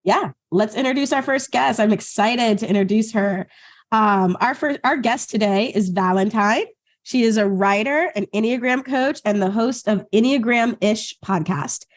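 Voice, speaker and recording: A woman co-hosting a podcast who is a therapist. She sounds hoarse and asks curious, upbeat follow-up questions. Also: fairly high pitch, normal speed.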